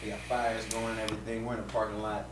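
A man co-hosting a podcast in casual chat, loud and excitable, swearing freely. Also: lowish voice, very fast, recorded from a distance. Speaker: podcast co-host. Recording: roomy echo, off-mic, faint hum.

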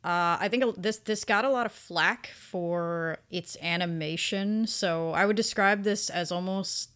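A woman's voice: lowish voice, unhurried, fairly bright tone, clean tone. A woman co-hosting a podcast, talking casually and emphatically as she gives enthusiastic reviews. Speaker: podcast co-host reviewing anime.

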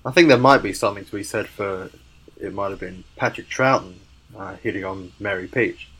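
A man's voice: fairly low pitch, a little fast, fairly bright tone, slight background noise, poor audio. A man talking on a podcast, with understated, dry humour. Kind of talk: casual podcast discussion.

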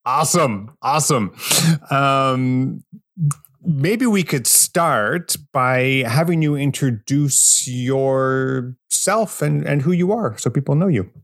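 A man hosting an interview podcast, speaking in a measured, deliberate way. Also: mid-range voice, lively intonation, fairly bright tone, dry recording, clean studio sound. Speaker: podcast host interviewing a guest. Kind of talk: podcast introduction and conversational interview.

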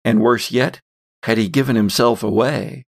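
A man's voice: medium pitch, fairly even intonation, normal speed, gravelly, no room sound, studio quality. A man hosting as game master, narrating a tabletop roleplay story in a theatrical, folksy storyteller's way. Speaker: podcast host and game master.

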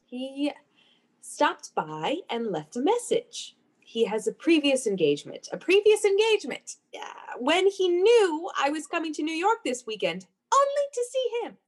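A woman's voice: high-pitched, sing-song, a little slow. A woman playing a lovesick, anxious, clinging young woman with a hesitant, fervent manner in a classic radio suspense play. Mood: hurt, distraught. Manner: hesitant, repeating words, fervent.

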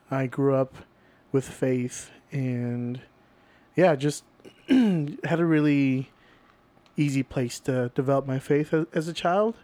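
A man's voice: mid-range voice, darkish tone, dry recording. A young man from Venezuela, a podcast guest, chatting casually, a bit nervous and goofy, laughing often. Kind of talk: casual chat.

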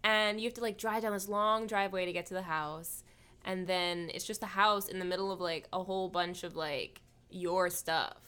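A woman's voice: lowish voice, fairly even intonation, moderate pace, bright, forceful tone, clear, resonant tone. A woman hosting a podcast interview in a casual, chatty way, often saying "like" as she goes. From Hawaii. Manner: informal, chatty, frequent "like". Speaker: podcast host interviewing a guest.

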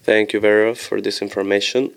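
Low flat-voiced young man hosting. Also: creaky, clear, resonant tone. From Switzerland. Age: young adult. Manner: measured, reads from notes, thanks each speaker. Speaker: podcast host, student. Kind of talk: casual student podcast.

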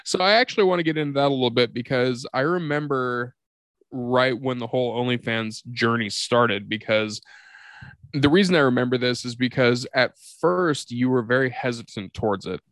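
A man hosts a podcast in a loose, conversational style, in a medium-pitched voice with a dry, echo-free sound. He swears casually and repeats phrases to drive a point home. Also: normal speed, a bit bright. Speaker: podcast host. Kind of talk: casual chat, interviewing.

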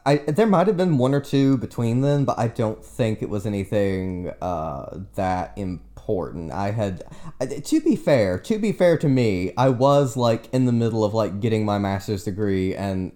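A young man in his late twenties, talking loosely on a podcast with a lowish voice. He rambles, restarts his sentences and pokes fun at himself. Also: lively intonation, measured pace, dark tone. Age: 20s. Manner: rambling, self-deprecating, frequent restarts and fillers. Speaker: podcast guest and teacher. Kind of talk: casual podcast chat.

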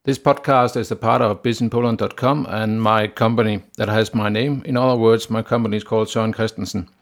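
A man from Denmark with a Danish accent, hosting a solo business podcast in a steady, plain way with frequent "uh" pauses. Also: fairly low pitch. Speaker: podcast host.

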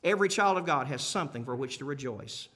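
A man's voice: mid-range voice, expressive, fast talker, sharp tone. A man preaching a sermon in an emphatic but conversational way, repeating key phrases and putting questions to his listeners. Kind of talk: sermon to a congregation. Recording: long hall reverb.

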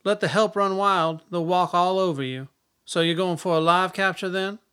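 A haughty, high-voiced sardonic official. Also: male voice, steady tone, normal speed, sharp tone, clear, resonant tone. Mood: dry amusement. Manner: arch, florid, dryly condescending. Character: haughty, sardonic government secretary, a man. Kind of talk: radio drama acting.

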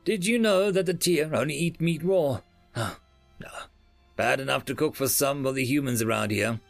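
A man's voice: medium pitch, moderate pace, gravelly, no echo, faint music behind, close to an everyday voice. A man voicing a talkative, nervous male head chef in his late fifties in an audiobook. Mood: nervously emphatic. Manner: emphatic, chatty, hesitant. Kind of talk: audiobook character dialogue.

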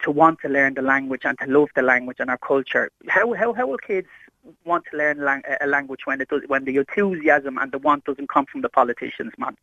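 A man's voice: medium pitch, fairly quick, sharp tone, no echo. A middle-aged Irish man calling a radio show by phone, telling personal stories and opinions emphatically, repeating phrases insistently. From Ireland. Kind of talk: impassioned personal anecdote and opinion. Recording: dull, lo-fi phone line to radio.

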